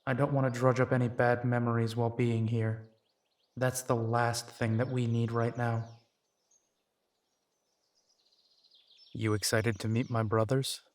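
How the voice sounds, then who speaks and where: A man playing a gentle, dry-humored werewolf private eye and younger brother in an immersive roleplay audio drama, speaking softly and wryly. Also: mid-range voice, little pitch movement, normal speed, no echo, lightly acted. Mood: gentle, reassuring.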